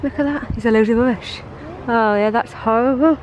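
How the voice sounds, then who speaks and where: Chatty high-voiced woman vlogging outdoors. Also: a little fast, darkish tone, slight background noise, rough recording. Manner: chatty, upbeat, talking to the camera. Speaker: travel vlogger. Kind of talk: casual travel vlog. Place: outdoors on a boat deck and a beach. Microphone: handheld action camera at arm's length.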